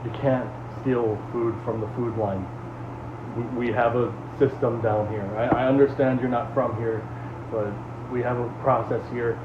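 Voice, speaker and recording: A man's voice: mid-range voice, fairly even intonation, natural voice. Tinny, echoey man acting a weary refuge leader. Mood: earnest, firm. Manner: earnest, halting, gently reprimanding. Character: weary, patient male leader of an underground refuge. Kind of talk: horror series acting. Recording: tinny, echoey, noisy lo-fi audio.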